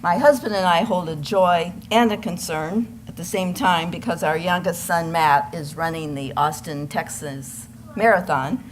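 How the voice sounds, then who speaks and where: An older woman with a low-pitched voice addresses a congregation with announcements and shared concerns. She is speaking live at a service, with some faint noise around her. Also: unhurried, few pauses, rough recording. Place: church service.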